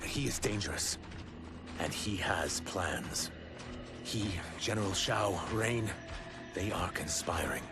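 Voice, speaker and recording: A wounded male reptilian shapeshifter from a video game speaks in a lowish voice. He is an earnest outcast, sombre and confiding, with music playing behind. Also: takes it slowly, pauses often. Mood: grave warning.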